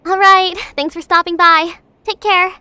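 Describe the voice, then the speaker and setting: A woman's voice: very high-pitched, little pitch movement, measured pace, pushed and bright, no room sound, exaggerated voice. A young woman playing a friendly, encouraging shop girl in an anime-style drama, with a perky, reassuring manner. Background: music.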